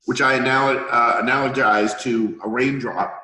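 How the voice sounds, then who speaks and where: A middle-aged man with a hoarse voice from a cold, hosting an interview as a healer and explaining things at length with analogies. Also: mid-range voice, fairly even intonation, moderate pace, sharp tone, echoey room, poor audio. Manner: confident, lecturing with analogies. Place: room in Thailand.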